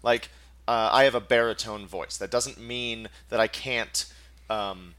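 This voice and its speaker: A man's voice: on the low side, steady tone, a little slow, fairly bright tone. A man hosting a podcast, talking casually, asking probing questions and explaining his points while checking that he is understood. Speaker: podcast host. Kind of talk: casual chat.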